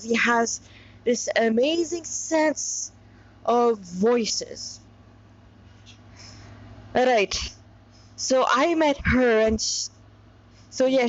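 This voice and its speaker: High-voiced woman reading informally, dull recording. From Montreal, Canada. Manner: rambling, digressive, often breaking off to explain. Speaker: narrator reading a story aloud with commentary. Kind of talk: informal story reading with chatty asides.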